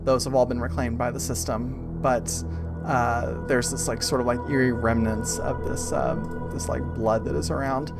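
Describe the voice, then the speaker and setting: A man improvising narration as game master of a tabletop game, describing scenes in a casual, halting way. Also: mid-range voice, flat delivery. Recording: music playing behind voice. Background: clear background music.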